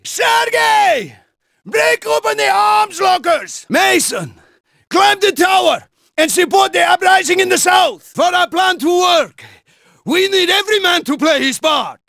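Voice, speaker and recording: An actor voicing a fierce Russian soldier prisoner leading a revolt in a video game, with a gravelly voice and emphatic, rousing delivery. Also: male voice, very high-pitched, very animated, takes it slowly, pushed and bright, heavy reverb, raised voice, big-room sound, far from the mic, heavily acted. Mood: commanding, fired-up.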